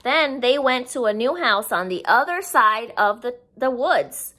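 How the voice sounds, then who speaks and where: Bright, slow, high-pitched woman reading to children. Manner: expressive, simple explaining asides to children. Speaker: children's story reader. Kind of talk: read-aloud picture book narration for young children.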